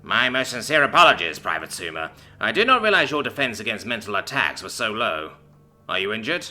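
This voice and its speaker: A man voicing a calm, formally polite captain in a storybook reading. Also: fairly low pitch, fairly quick, soft background music, heavily acted. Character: formal, calm middle-aged male captain.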